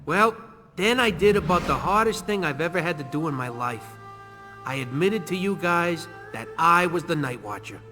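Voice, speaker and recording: An actor playing a hot-headed, defiant young turtle vigilante in a game, with a gruff, sarcastic, defensive manner. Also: male voice, on the high side, lively intonation, moderate pace, over music, close to an everyday voice. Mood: remorseful. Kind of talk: animated video game cutscene acting.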